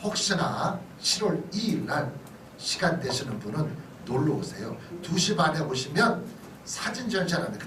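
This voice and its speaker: A male pastor giving a conversational history lecture, chatty and often checking in with listeners through questions and asides. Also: on the high side. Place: church hall lecture. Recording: echoey hall, distant mic, noisy background.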